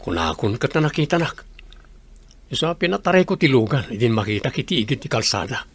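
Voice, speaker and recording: This is a man acting a composed gentleman with a secret, in an Ilocano radio drama. His voice is husky and sits at a middle pitch. He speaks in a deliberate, brooding way. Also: lively intonation. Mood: confiding.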